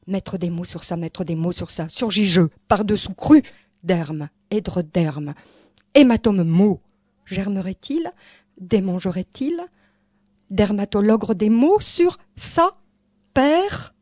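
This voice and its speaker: A woman performing spoken-word poetry in an incantatory, repetitive way, swinging between hushed and forceful delivery. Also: medium pitch, lively intonation, slow pace, no echo, studio quality. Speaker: poet.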